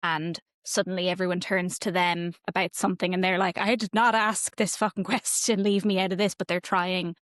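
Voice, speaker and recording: Young woman, chatty, rambling, slightly low voice, dry sound. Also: flat delivery, normal speed, hardly any pauses, a bit bright, dry recording. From Ireland. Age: young adult. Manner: chatty and rambling, heavy filler "like", laughs easily. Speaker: podcast interviewer. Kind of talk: casual podcast interview.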